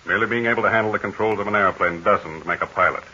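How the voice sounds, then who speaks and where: An actor playing a calm, fatherly heroic aviator in a 1940s radio serial, speaking in measured, assured tones. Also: on the low side. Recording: dull scratchy 1940 radio transcription.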